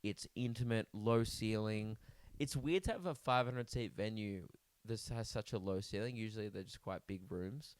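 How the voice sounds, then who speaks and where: A man's voice: on the low side, moderate pace, no echo. A young man, a comedian co-hosting a podcast, chatting casually and rambling with lots of fillers. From Australia. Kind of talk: casual chat.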